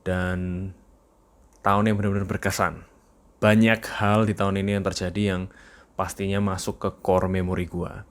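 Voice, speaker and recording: A young man from East Java talks through his year in a relaxed, chatty video journal. His East Javanese accent colors a fairly low voice, and English phrases keep slipping into his casual talk. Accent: East Javanese Indonesian. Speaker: vlogger.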